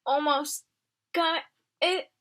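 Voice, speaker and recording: A woman's voice: sits high, fairly even intonation, extremely slow, fairly bright tone, voice pushed up, exaggerated voice. Woman voicing a dry, sulky storybook crow. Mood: determined. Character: female crow, clever, grumbling, persistent sidekick.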